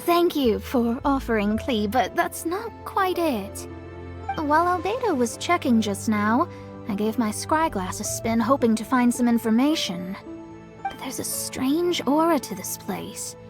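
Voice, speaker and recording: Serious young fortune-teller woman for a game: articulate, high, expressive. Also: measured pace, over music. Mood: serious, intrigued. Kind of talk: video game character acting.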